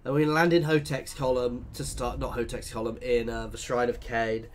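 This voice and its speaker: A man's voice: mid-range voice, little pitch movement, fairly quick, slight background noise. A man with a bunged-up cold, chatting and thinking aloud as he commentates his own strategy game playthrough. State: congested from a cold. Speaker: let's play host.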